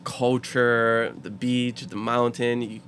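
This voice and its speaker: A man's voice: mid-range voice, takes it slowly, a little hiss or hum. Laughing young man from Hawaii. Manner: lively storyteller who laughs often. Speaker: podcast guest. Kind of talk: casual podcast chat.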